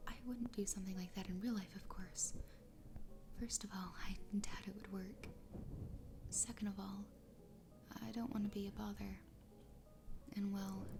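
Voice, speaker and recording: A woman voicing a doting, possessive yandere fox girl wife in a soft, breathy ASMR whisper, tender and soothing. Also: mid-range voice. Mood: sweetly menacing. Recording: clear background ambience noise.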